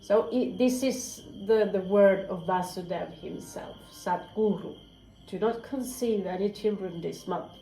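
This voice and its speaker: A woman's voice: mid-range voice, unhurried, gravelly, dark tone, faint music behind. A woman giving a casual tarot reading, candid and chatty, swearing freely and dropping into whispered asides. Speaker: tarot reader. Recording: roomy echo, faint crickets.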